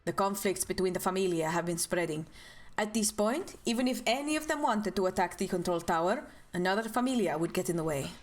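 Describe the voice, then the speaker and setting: Calm young mercenary woman, read aloud by a streamer. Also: brisk. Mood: calm, assured. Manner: reading game dialogue aloud in a low, even, calm tone.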